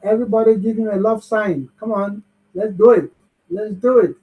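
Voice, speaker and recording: A man's voice: high-pitched, fairly even intonation, normal speed. A man hosting an online bootcamp, upbeat and cheering the audience on over a video call. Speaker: bootcamp host. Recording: muffled video-call audio.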